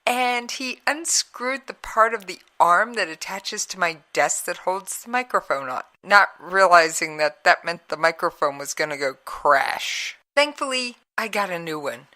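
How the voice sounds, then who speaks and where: A woman's voice: lowish voice, expressive, measured pace, few pauses, sharp tone. A woman producer co-hosting a radio chat, chatty and laughing as she tells stories. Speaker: producer and co-host.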